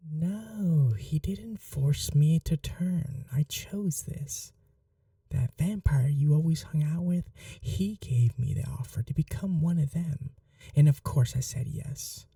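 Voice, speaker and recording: A woman voicing a tender, desperate young brother newly turned vampire in an ASMR roleplay, soft, breathy and pleading. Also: deep voice, no echo, distant mic, heavily acted. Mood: earnest, elated. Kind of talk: ASMR audio roleplay, dramatic acting.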